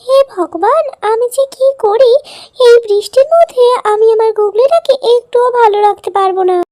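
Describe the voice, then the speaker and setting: A woman voicing a gentle, anxious, sickly mother bird in a children's cartoon. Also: very high voice, moderate pace, dry recording, studio quality, pitched above the performer's own voice. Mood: worried.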